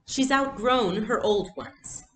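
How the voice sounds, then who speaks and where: A woman's voice: fairly high pitch, slow, fairly bright tone, roomy sound, a little hiss or hum, voice pushed up. A woman voicing a patient, practical storybook mother who explains things soothingly. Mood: weary explaining.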